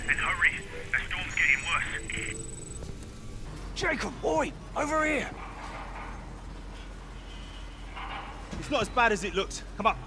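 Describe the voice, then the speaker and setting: Streetwise convict, higher-pitched, urgent. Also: male voice, big pitch swings, normal speed. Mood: shouting, urgent. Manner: informal, slangy, clipped phrasing. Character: streetwise convict ally, frank, urgent, dryly teasing man. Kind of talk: cinematic video game acting. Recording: loud music or machinery noise behind.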